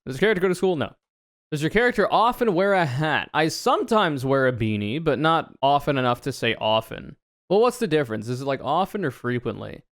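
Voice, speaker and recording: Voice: mid-range voice, very animated, brisk, no room sound, studio quality. A man in his twenties from the United States chatting casually on a livestream, reading questions aloud and answering with joking, sarcastic, rambling asides. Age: 20s.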